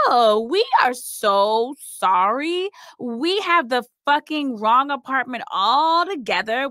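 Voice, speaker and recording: A woman's voice: a little high, bright, forceful tone, dry recording, clean studio sound. An American woman performing an anxious, sleepless woman's racing, repetitive thoughts as a film's interior monologue. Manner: muttering, repetitive, agitated.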